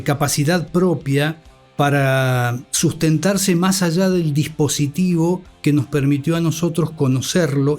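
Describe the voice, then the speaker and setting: Higher-voiced Argentine man, reflective and articulate. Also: a little mellow. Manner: measured, articulate, formal vocabulary. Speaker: interview guest, communication academic and scriptwriter. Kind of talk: reflective interview talk. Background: music bed.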